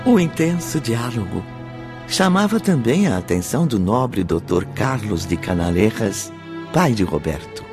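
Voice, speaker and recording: A man's voice: on the low side, very animated, a little slow, over music. A man giving dramatic scripted narration for a radio soap.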